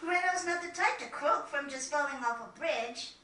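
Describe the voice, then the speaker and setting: A woman's voice: sits high, moderate pace, sharp tone, reverberant, distant mic, a little hiss or hum. A woman voicing an eager, chatty, cheeky young boy in a video game, with a chirpy, informal delivery. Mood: eager, reassuring. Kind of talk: video game cutscene acting.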